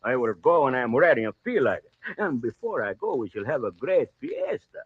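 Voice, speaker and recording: Swaggering Mexican bandit chief, animated. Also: male voice, medium pitch, fairly quick, breathy edge, no echo, full character voice. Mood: proud, amused. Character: boastful, jovial, commanding male Mexican bandit chief. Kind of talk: 1940s radio western acting. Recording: muffled old radio broadcast recording.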